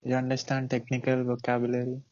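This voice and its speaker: A man of middling pitch hosts an online interview, stopping and restarting his questions often with many "uh"s. His voice sounds dull and lo-fi, carried over a video call. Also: completely flat delivery, quick pace, darkish tone. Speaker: interview show host.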